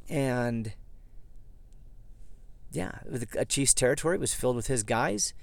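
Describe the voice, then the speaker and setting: A man from southeast Idaho with a slightly hoarse, froggy voice, talking as a podcast host in a rambling, self-correcting way. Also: medium pitch, animated, unhurried, no room sound. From southeast Idaho, USA. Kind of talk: casual history podcast monologue. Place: inside a parked vehicle.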